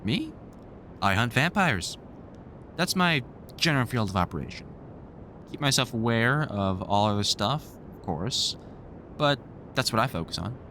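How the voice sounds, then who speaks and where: A man's voice: mid-range voice, very animated, measured pace, long pauses, pushed and bright, a little hiss or hum. A man playing a wry, grumpily fond vampire hunter in a conversational audio roleplay, teasing and swearing through rambling explanations. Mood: explaining, wry.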